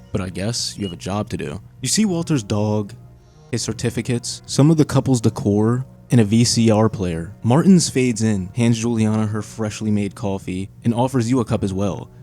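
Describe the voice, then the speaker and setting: A man's voice: on the low side, dark tone. A man narrating a scripted video essay in a suspenseful storytelling manner. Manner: suspenseful second-person storytelling.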